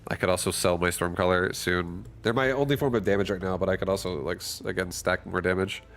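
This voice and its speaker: A man's voice: low-pitched, fairly even intonation, quick pace, a bit bright. A man casually thinking aloud and commenting on his own strategy game as he streams it. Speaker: gaming streamer.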